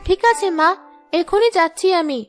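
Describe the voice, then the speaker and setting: Very high-pitched young cartoon woman. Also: moderate pace. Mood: sweet, dutiful. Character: young Bengali sisters, one vain, one dutiful. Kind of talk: cartoon story voice acting. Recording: music behind the voice.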